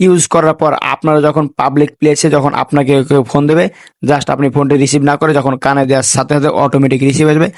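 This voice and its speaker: A man narrates step-by-step instructions to viewers at a rapid clip. His voice sits a little high for a man, and he mixes English words into repeated stock phrases. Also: darkish tone, no echo, studio quality. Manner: repetitive set phrases, peppered with English words. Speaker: tech tutorial host. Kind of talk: instructional voice-over addressing viewers.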